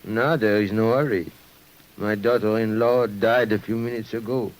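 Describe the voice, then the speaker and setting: A man's voice: on the low side, steady tone, moderate pace, natural voice, low-quality recording. An actor playing a grave, bereaved French father-in-law, formal and solemn, in 1950s radio drama style. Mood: grieving.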